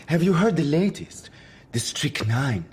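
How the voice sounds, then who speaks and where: Husky, gossipy neighbour. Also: male voice, fairly high pitch, expressive, a little slow, darkish tone, full character voice. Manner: gossipy, overfamiliar. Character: gossipy, insistent, familiar male apartment neighbour. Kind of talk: audio drama acting.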